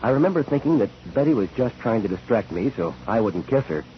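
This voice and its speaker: An actor playing a frank young male witness, halting and a little embarrassed, in 1940s radio style. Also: medium pitch, sing-song, close to an everyday voice. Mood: unsure. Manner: halting, informal. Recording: dull, noisy 1940s radio recording.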